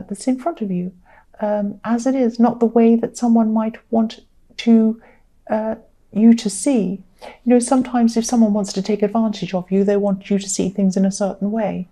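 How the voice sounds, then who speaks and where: A tired woman with a croaky, mellow voice talks through a tarot spread. She speaks earnestly and circles back to repeat her points for emphasis. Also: mid-range voice, steady tone, normal speed. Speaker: tarot reader. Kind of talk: casual tarot reading to camera.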